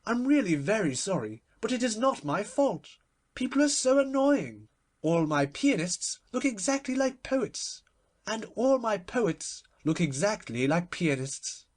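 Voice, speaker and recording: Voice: medium pitch, sing-song, a little slow, voice pushed up. A performer voicing a gushing, witty, imperious society hostess in a theatrical, emphatic manner for a storybook reading. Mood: gushing, lively.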